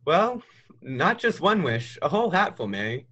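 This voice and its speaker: A man's voice: fairly high pitch, very animated, moderate pace, pushed and bright, breathy edge, highs cut off, full character voice. A teenage American boy playing a restless, dreamy small-town young man in a radio play, earnest and emphatic. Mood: excited, boastful. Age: teens. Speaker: high school drama student. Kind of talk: stage radio play acting.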